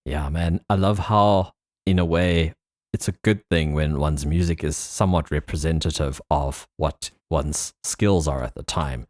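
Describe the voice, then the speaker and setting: Very deep-voiced male host. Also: unhurried, no echo, clean studio sound. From South Africa. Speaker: podcast host. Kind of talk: casual interview chat.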